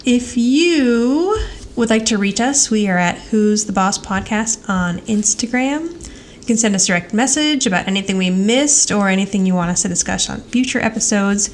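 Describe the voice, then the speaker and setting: A woman's voice: mid-range voice, expressive, moderate pace. A woman co-hosting a podcast in a casual, chatty way. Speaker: podcast co-host. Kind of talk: casual chat. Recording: faint background noise.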